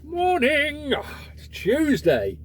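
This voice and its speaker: A man's voice: very high-pitched, wildly swooping pitch, drawn-out words. A man vlogging casually about his courier work, chatty and grumbling, swearing now and then. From England. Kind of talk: casual vlog monologue.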